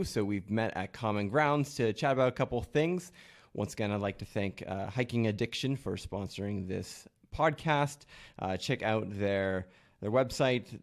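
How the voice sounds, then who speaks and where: A man hosting a casual podcast interview, relaxed and easygoing, with many fillers. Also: on the low side. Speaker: podcast host. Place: coffee shop.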